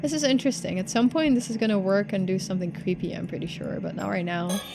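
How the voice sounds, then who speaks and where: A woman's voice: medium pitch, quick pace, gravelly. A woman giving casual game playthrough commentary, musing aloud and addressing her viewers. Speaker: game streamer. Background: game music.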